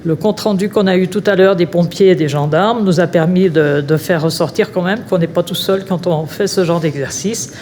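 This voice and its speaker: A woman's voice: on the low side, a little fast, hardly any pauses, darkish tone, a little hiss or hum. A woman giving plain, hesitant feedback in an interview.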